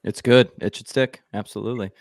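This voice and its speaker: Low-voiced man hosting a podcast. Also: fairly quick, creaky, dry recording, studio quality. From United States. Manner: joking, relaxed, heavy use of like. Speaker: podcast host. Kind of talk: casual podcast interview. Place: indoor home studio. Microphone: headphones and large studio mic.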